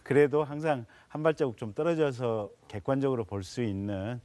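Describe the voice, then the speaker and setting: Mid-pitched male panel guest. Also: brisk, a little mellow. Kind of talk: unscripted political commentary.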